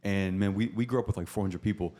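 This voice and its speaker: Deep, mellow-voiced man, quick storytelling guest. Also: steady tone. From Portugal. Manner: brisk and chummy, says "bro" a lot. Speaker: podcast guest, pastor. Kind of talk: casual storytelling.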